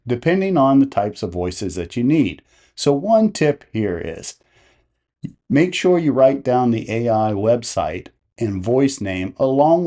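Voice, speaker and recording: A man presenting a step-by-step tutorial in a measured, explanatory way. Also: lowish voice, mellow. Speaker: tutorial host. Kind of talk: step-by-step instructional narration.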